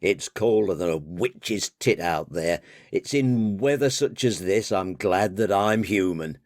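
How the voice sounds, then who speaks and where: A man's voice: sits low, expressive, unhurried, darkish tone, dry recording, studio quality. A man voicing a weary, good-humoured middle-aged father who grumbles and mumbles. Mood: grumbling. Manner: grumbling, mumbling, plain-spoken.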